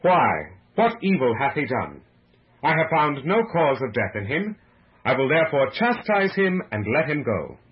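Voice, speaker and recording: A man voicing a troubled Roman governor who appeals earnestly and loudly to a crowd in a religious story. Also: medium pitch, big pitch swings, measured pace, muffled recording, voice pushed up. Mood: protesting. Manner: earnest, loud public oratory. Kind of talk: dramatized religious story reading.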